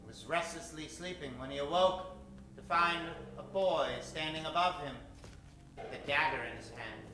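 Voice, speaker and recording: A man's voice: fairly high pitch, normal speed. A man narrating and announcing in a theatrical, melodramatic old-time radio style. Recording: echoey stage, music behind.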